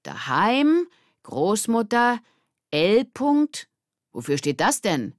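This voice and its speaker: Woman doing a teasing jealous schoolboy, pitched up, swoopy, kids story. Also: sing-song, slow pace, no room sound, clean studio sound. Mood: mocking. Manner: mocking, challenging.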